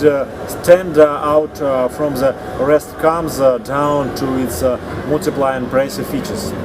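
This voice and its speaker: Russian-speaking man over loud background noise. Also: mid-range voice. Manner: hesitant, reading notes with fillers. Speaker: co-host. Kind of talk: casual chat reviewing startups. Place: indoor atrium of a large building.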